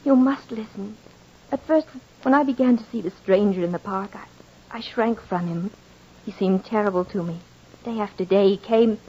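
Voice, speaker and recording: An actress playing an anguished, bewitched, dying wife in an old-time radio horror drama, speaking in halting, fervent melodrama. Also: mid-range voice, warm tone, breathy edge, natural voice. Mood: confessing, distressed. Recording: dull vintage radio recording, faint music.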